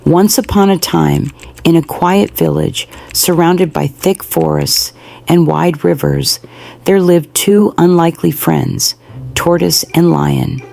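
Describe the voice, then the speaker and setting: A woman narrating a bedtime folktale in a slow, gravelly storytelling manner. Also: low-pitched, dark tone.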